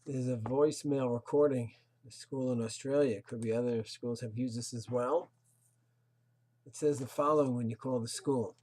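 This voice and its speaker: Husky mellow-voiced rabbi lecturing. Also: male voice, medium pitch, steady tone, moderate pace, rough recording. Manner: earnest, moralizing, emphatic rhetorical questions; sprinkles Hebrew and Yiddish terms. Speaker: rabbi teaching a Torah class. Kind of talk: recorded religious lecture.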